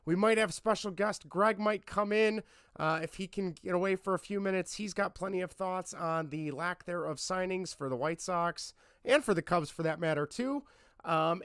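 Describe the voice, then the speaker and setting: A podcast host in his thirties with a fairly high voice, roughened and hoarse from laryngitis. He talks in a chatty, rambling, self-deprecating way. The sound is dry and close. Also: a bit bright, no room sound. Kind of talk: casual podcast chat.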